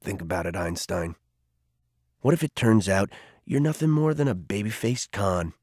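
A gruff older mentor in an audiobook, low and hushed, stern with a dry teasing edge, as a man reads him. His voice sits deep and soft, recorded close and dry. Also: lively intonation, moderate pace, no echo. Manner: low, quiet and firm.

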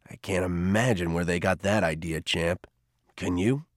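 A gruff older mentor in an audiobook, low and hushed, stern with a dry teasing edge, as a man reads him. His voice sits deep and soft, recorded close and dry. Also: normal speed, no room sound, lightly acted. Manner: low, quiet and firm.

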